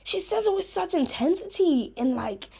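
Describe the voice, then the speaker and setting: A woman hosting a casual, rambling livestream chat, playful, giggly and self-mocking, with an animated, emphatic way of talking. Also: very high voice, normal speed. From Africa. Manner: animated, emphatic, repeating herself for effect. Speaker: host of a chat vlog.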